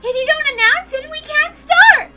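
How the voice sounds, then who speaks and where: A woman's voice: very high-pitched, expressive, normal speed, bright, forceful tone, faint music behind, pitched above the performer's own voice, full character voice. A woman voicing a playful, scheming, childlike witch in a visual novel read-aloud, teasing and exaggerated. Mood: excited.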